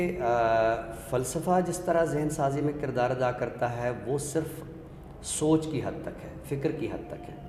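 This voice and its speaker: A man's voice: mid-range voice, darkish tone. Scholarly man explaining in an echoey room. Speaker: literary scholar, expert guest. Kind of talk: explanatory literary discussion. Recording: echoey reverberant room, background noise.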